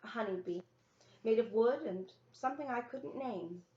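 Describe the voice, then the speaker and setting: A woman's voice: on the high side, animated, moderate pace, a little mellow, a little hiss or hum. A woman reading a children's novel aloud as scripted first-person narration. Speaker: story reader. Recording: roomy, echoey, dull lo-fi sound.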